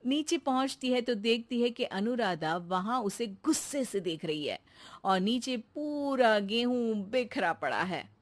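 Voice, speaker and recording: A woman's voice: fairly high pitch, expressive, measured pace. A woman reading scripted narration for a comic bedtime story.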